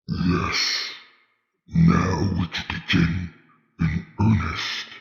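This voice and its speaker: A man voicing a sinister, patient villain mastermind with a gravelly voice in a comic book dub, in a dull echoing recording. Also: sits low, little pitch movement, drawn-out words, exaggerated voice. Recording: dull, echoey, long reverb.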